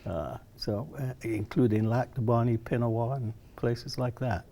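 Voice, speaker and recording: Elderly male interviewee from Barbados. Creaky, mellow voice, halting delivery with repeated words, conversational reminiscing. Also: medium pitch, fairly even intonation, slight background noise. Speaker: interview guest, retired social worker.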